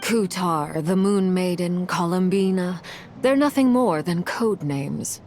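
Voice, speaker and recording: Commanding game character, adult woman, lowish voice, slow measured pace, formal, calculating yet caring. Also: darkish tone, soft background music. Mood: reflective, advising. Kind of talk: video game cutscene voice acting.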